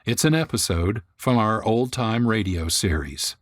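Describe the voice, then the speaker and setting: Male host with lively, varied intonation. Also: on the low side, unhurried, no echo. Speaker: host and producer. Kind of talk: scripted radio introduction.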